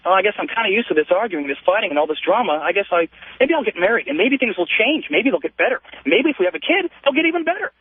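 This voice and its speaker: A man's voice: high voice, big pitch swings, quick pace, slightly rough, dry recording. A man calling a radio show with an emphatic, opinionated rant, piling up phrases in lists. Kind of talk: casual opinionated phone-in rant. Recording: tinny phone line.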